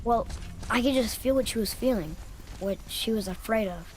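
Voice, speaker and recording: A man's voice: high voice, normal speed, natural voice, low-quality recording. A young male actor playing a sullen, curious boy in a video game, with an informal, snarky way of talking. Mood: hesitant, confiding. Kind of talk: video game cinematic acting. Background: ambient noise.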